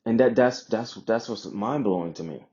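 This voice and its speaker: A man's voice: lowish voice, moderate pace, darkish tone. A man from Jamaica giving a casual opinion monologue, emphatic, repeating phrases and posing rhetorical questions in slightly muffled audio. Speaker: vlogger sharing personal views.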